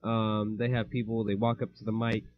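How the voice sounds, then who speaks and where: A man's voice: on the low side, little pitch movement, moderate pace. A young man co-hosting a casual podcast, rambling, with many fillers and easy laughs. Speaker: podcast co-host. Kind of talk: casual chat. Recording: dull lo-fi home mic.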